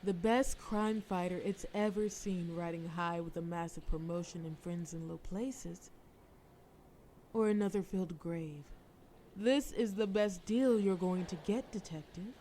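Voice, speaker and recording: A woman's voice: lowish voice, a little slow, mellow, a little hiss or hum, close to an everyday voice. A woman playing a cunning, flirtatious mafia boss in a scripted audio roleplay, sultry, persuasive and teasing, with cool threat. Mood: coaxing, menacing.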